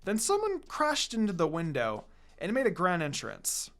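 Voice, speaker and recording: An expressive reader and channel host narrating anime fan fiction in a casual, storytelling style. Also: medium pitch, moderate pace. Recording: background music.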